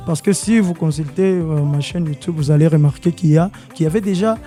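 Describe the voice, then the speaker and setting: A man's voice: fairly high pitch, fast, mellow, faint music behind. A man from Congo, a musician guest in a radio studio interview, mixing in Swahili and hesitating with many fillers.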